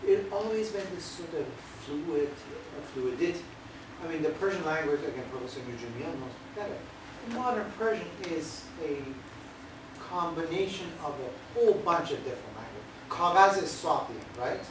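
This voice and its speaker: Man lecturing in an echoey hall. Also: on the high side. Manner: emphatic, asks "right?" for agreement. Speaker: history lecturer. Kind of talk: lecture Q&A answer. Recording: echoey hall, far-off, noisy.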